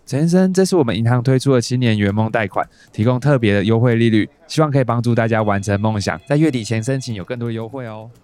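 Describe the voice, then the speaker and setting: An actor with a Taiwanese Mandarin accent playing an eager, courteous bank clerk with rehearsed sales patter in a radio drama. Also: male voice, medium pitch, fast, few pauses, a little mellow, lightly acted. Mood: eager, polite. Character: polished, eager male bank clerk pitching products.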